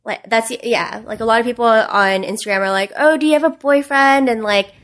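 A woman's voice: fairly high pitch, brisk, fairly bright tone. A young woman guest on a podcast, chatting casually, blunt and profane, with lots of "like" fillers. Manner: frank and profane, heavy use of "like". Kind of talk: casual chat.

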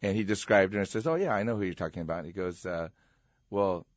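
A middle-aged American pastor sharing his story in a relaxed, chuckling conversational way. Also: low-pitched, fast talker, no room sound. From USA. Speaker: pastor, interview guest. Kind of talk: personal storytelling. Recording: muffled, dry radio studio sound.

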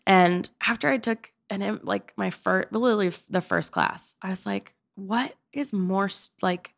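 A woman's voice: mid-range voice, normal speed, gravelly. A young woman from Pennsylvania, a guest in a casual interview, talking in a rambling, chatty way full of filler likes. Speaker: guest, opera-trained improv performer.

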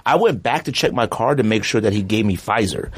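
A man co-hosting a casual podcast, telling stories with exaggerated, joking complaint and a husky voice. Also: on the low side, fairly quick. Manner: animated, exaggerated storytelling with mock complaint. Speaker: podcast co-host.

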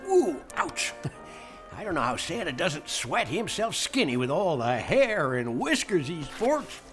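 An actor playing a hearty, gruff but tender frontier prospector in an American Western radio drama, with a folksy way of talking. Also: male voice, a little high, sing-song, measured pace, fairly bright tone, soft background music. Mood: wry, friendly.

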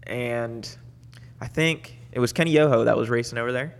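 A man from Puerto Rico, guesting on a podcast, recounting his past in a relaxed, easygoing way. His voice sits mid-range, with a long room reverb behind it. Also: mid-range voice, moderate pace, creaky. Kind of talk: casual interview storytelling. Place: studio.

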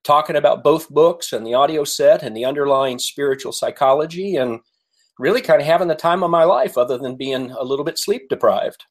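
An American man speaking as a podcast guest in a conversational interview, measured and polite with pauses. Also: on the high side. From United States. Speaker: podcast guest, author and coach.

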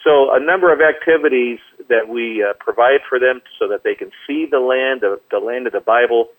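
A man on a phone line explaining ministry programs as an interview guest, in a measured way with hesitant pauses. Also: medium pitch. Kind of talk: explanatory radio interview talk. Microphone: phone call. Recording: tinny, narrow telephone line.

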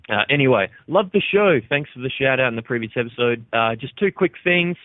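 A man's voice: mid-range voice, lively intonation, moderate pace, dry recording. An Australian man leaving a casual, joking, profane voicemail for a show. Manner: profane, joking, laid-back. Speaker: caller. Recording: tinny phone line.

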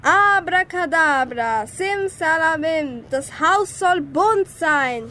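Schoolgirl playing very high-voiced, grumpy old witch. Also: lively intonation, slow pace, background noise, exaggerated voice. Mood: fretting, coaxing. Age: teenager. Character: grumpy old witch with a soft spot for her cat. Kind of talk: school radio play.

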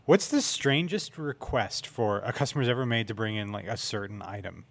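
A man's voice: on the low side, moderate pace, vocal fry. A man hosting a podcast interview, asking questions in an informal, bantering way. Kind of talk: casual interview chat.

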